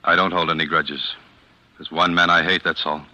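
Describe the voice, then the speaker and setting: An actor playing a bitter, brooding ex-convict in 1940s radio drama style. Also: male voice, low-pitched, little pitch movement, moderate pace, pushed and bright. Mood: bitter, resentful. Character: bitter, brooding male ex-convict turned clerk. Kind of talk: 1940s radio drama acting, confiding first-person narration. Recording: muffled old radio recording.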